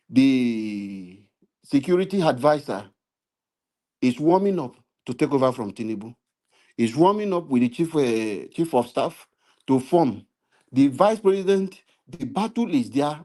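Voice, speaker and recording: Nigerian male commentator, warm and mellow, preachy, builds to emphatic repeated appeals. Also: mid-range voice, expressive, a little slow. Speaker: political commentator. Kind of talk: impassioned political commentary. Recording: live stream.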